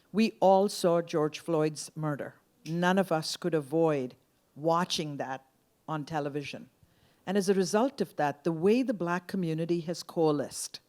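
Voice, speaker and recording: A woman's voice: lowish voice, a little mellow. A woman speaking as a panelist, earnest and emphatic, citing figures and urging unity. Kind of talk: panel discussion.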